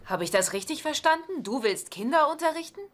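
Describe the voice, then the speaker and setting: An actress playing an exasperated, sardonic but caring wife in a dubbed sitcom. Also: female voice, high voice, animated, fairly quick, music in the background. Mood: mocking.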